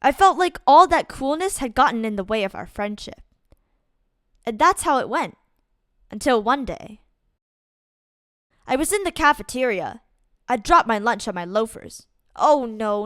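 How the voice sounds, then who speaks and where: A woman reading a children's picture book aloud as a storyteller, in an expressive, deliberate and dramatic way. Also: fairly high pitch, no echo, clean studio sound. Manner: deliberate, dramatic delivery with acted-out exclamations. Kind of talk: expressive read-aloud storytime narration for kids.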